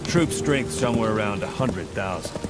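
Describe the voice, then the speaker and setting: A man's voice: on the low side, animated, normal speed, loud music behind the voice, lightly acted. A man playing a blunt mercenary commander. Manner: blunt, clipped, matter-of-fact briefing. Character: gruff male mercenary commander briefing a soldier. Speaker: commander giving a mission briefing. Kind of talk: video game cutscene acting.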